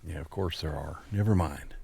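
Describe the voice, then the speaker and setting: A man playing an exasperated support agent in a comedy phone sketch, sarcastic and grudging, with halting delivery. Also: very deep voice, gravelly, darkish tone, breathy edge, dry recording, heavily acted.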